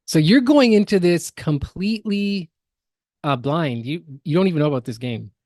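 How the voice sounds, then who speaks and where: A man co-hosting a podcast, explaining things in a casual commentary style with halting, emphatic phrasing. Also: a little high, animated, a little mellow, dry recording, clean studio sound. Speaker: podcast co-host.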